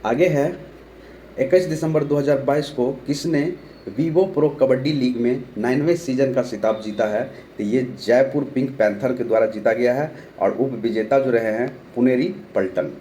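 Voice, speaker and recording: A young Bihari man with a sore throat runs an online current-affairs lesson. His voice has a dark, mellow tone. He reads out each question, gives the answer, and repeats the names to remember, with faint noise behind him. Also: mid-range voice, moderate pace, rough recording. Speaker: online exam-prep teacher.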